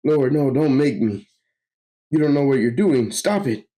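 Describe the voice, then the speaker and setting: A man's voice: mid-range voice, expressive, a little fast, dark tone, pitched above the performer's own voice, natural voice. A man voicing an anguished, bewildered wanderer with distraught, dramatic delivery in a comic book story. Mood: pleading. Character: anguished, bewildered male wanderer between doomed worlds. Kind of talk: comic book read-aloud.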